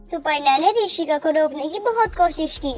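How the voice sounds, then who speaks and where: A woman's voice: sits high, moderate pace, soft background music. A woman narrating a scripted cartoon family story.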